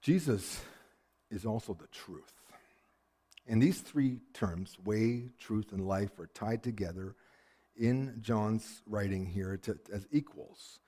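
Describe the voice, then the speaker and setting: A man preaching a sermon, telling stories with lots of energy, often repeating words as he starts a sentence, and dropping to a hush to drive a point home. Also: on the low side, takes it slowly. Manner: animated storytelling, swings from loud to hushed. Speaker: pastor.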